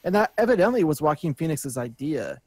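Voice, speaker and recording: A man's voice: fairly high pitch, big pitch swings, a little fast, darkish tone, no echo. Guy on a panel just chatting, kinda hesitant, very dry sound. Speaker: panelist on a movie news talk show. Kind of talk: casual chat.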